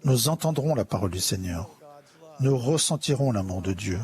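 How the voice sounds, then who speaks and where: A man interpreting a church conference sermon in a measured, solemn, earnest way. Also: mid-range voice, animated, darkish tone. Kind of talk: formal sermon delivered in translation.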